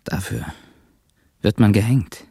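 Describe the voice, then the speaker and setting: Radio noir: rough drifter lover, dark gravelly tone, cool, terse, muttered lines. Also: male voice, lowish voice, expressive, slow, no echo, clean studio sound, heavily acted. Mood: wary, subdued. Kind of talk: German radio crime drama acting.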